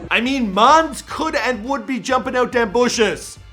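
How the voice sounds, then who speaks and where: A man with a Toronto accent hosts a countdown list, cracking jokes in punchy slang. His voice is high and sharp, with a bright edge. Light music runs underneath. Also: lively intonation, a little slow. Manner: jokey, slangy, punchy delivery. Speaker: host. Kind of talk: energetic countdown commentary.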